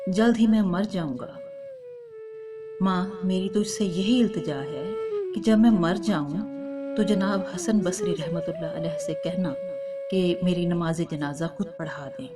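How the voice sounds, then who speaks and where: A woman's voice: lowish voice, natural voice. Woman voicing a remorseful dying young man, pleading. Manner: pleading, slow, sorrowful. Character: dying young male sinner, remorseful, pleading with his mother. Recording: loud instrumental flute music behind.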